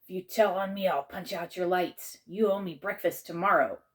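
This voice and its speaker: A woman's voice: fairly low pitch, moderate pace, natural voice. A woman reading a children's story, voicing a tall, menacing fourth-grade bully who growls threats smugly. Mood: threatening.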